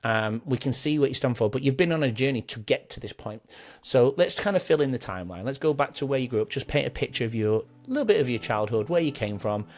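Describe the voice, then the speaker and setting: A man's voice: medium pitch, animated, fast. A man hosting a podcast, chatting earnestly in a rambling way, then closing with an encouraging sign-off. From England. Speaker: podcast host. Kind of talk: casual interview chat and scripted outro.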